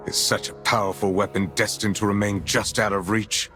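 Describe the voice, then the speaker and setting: Low-voiced, stern regal leader. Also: male voice, fairly even intonation, unhurried, sharp tone, soft background music. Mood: grave. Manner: formal, grave, commanding. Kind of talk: dramatic video game voice acting.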